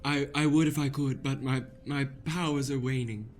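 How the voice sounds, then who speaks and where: A man's voice: medium pitch, fairly even intonation, normal speed, faint music behind, lightly acted. A man playing a scheming, easily deflated witch, campy and melodramatic, in an improvised comic radio play. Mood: resigned, fond. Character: theatrical villainous witch Matilda, scheming yet easily deflated.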